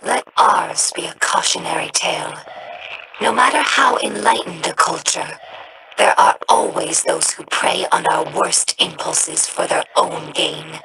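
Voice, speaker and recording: A young alien scholar speaks in a gravelly, breathy rasp, slow and measured. Her mid-pitched voice sounds earnest and reflective, like a game character recounting her people's history. A steady ambient hiss sits under the game audio. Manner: slow, measured, solemn delivery.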